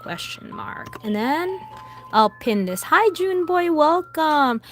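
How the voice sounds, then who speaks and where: A woman's voice: high voice, big pitch swings, slow pace, a bit bright, soft background music. A young woman hosting a casual livestream, chatty and greeting viewers by name, while she is losing her voice. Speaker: livestream host.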